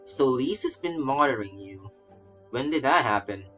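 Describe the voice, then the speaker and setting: A man's voice: mid-range voice, expressive, moderate pace, fairly bright tone. A man livestreaming a game with casual, rambling commentary, often stammering and repeating phrases. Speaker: game streamer, VTuber. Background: faint game music.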